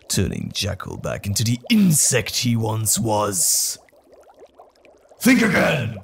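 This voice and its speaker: Gravelly, menacing, slow-talking villain. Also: male voice, mid-range voice, exaggerated voice. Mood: furious, contemptuous. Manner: slow, taunting, emphatic. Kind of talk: dramatic audio roleplay.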